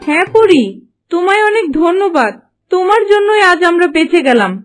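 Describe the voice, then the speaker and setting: A woman's voice: very high voice, lively intonation, a little fast. A woman playing a devoted, cunning young golden fish wife in a Bengali fairy-tale cartoon, with a theatrical delivery. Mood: warm, relieved. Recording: faint music behind the voice.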